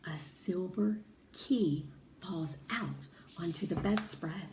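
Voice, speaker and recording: Female read-aloud narrator, unhurried and deliberate, expressive storytelling, echoey room. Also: lowish voice. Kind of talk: expressive children's audiobook narration. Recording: roomy echo, faint background noise.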